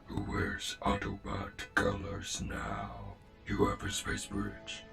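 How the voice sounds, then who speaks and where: The low, crackling, courteous robot commander who would receive you aboard an enemy warship in a dubbed comic, score playing underneath. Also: male voice, sing-song, gravelly, a bit bright, exaggerated voice. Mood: stern, solemn. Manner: measured, formal, deliberate. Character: calm, formal, persuasive male robot faction officer. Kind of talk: comic dub voice acting. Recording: music behind the voice.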